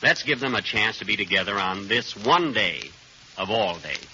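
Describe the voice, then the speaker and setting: A man delivers an earnest 1940s radio appeal in a fairly low, very forceful voice. The old recording is muffled. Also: expressive, normal speed, a little hiss or hum.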